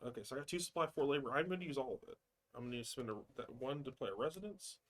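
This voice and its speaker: A man's voice: medium pitch, lively intonation, very fast, fairly bright tone. A man playing and hosting a board game session in casual, conversational talk. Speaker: host and player in a board game livestream. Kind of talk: casual tabletop play chat.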